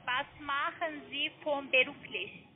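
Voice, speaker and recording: Very high-voiced woman with foreign accent. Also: steady tone, slow pace. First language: not German. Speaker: call centre agent. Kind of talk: phone sales call. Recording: muffled narrow phone line.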